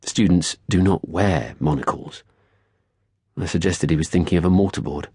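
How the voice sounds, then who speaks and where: Deep, husky male audiobook narrator. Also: normal speed, dark tone, no echo, clean studio sound. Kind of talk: scripted first-person literary narration.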